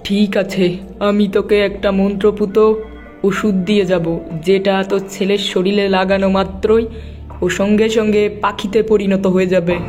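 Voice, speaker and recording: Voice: medium pitch, level tone, normal speed, a little mellow, close to an everyday voice. A performer voicing a secretive, scheming guru in a cartoon fairy tale, with music behind. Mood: conspiratorial. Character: secretive scheming guru dispensing enchanted potions. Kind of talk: cartoon voice acting.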